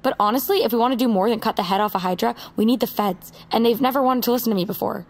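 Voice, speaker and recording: A woman's voice: fairly high pitch, rapid-fire. An actress playing a determined, vengeful young woman, blunt and resolute, in a naturalistic audio drama. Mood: grim determination.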